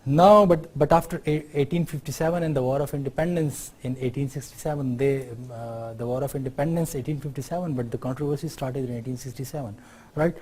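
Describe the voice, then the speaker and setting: A middle-aged man with a Pakistani accent giving an unscripted university lecture, often pausing on fillers and checking in with "right?". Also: mid-range voice, fairly even intonation, a little mellow, husky. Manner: unscripted explaining, many fillers, tag questions like "right?".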